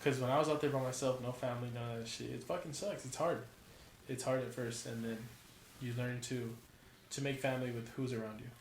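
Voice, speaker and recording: A man's voice: medium pitch, fairly even intonation, a little fast. Laid-back young man, echoey room. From California. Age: 20s. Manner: profane, laid-back slang. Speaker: guest, college football player. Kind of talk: casual chat. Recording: echoey room with faint noise.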